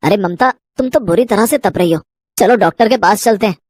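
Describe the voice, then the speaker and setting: A woman's voice: mid-range voice, a little fast, a little mellow, no echo, lightly acted. An exasperated young son in his twenties from a cartoon story, as voiced by a woman. He argues and pleads with his mother in a mid-range voice. The voice is recorded close and dry. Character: young man of 25, frustrated son.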